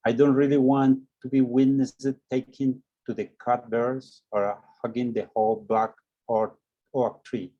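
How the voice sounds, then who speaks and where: A man's voice: mid-range voice, level tone, a little slow, muffled recording. A man taking part in a class, reading aloud and speaking reflectively. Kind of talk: poem read aloud, brief reflective comments.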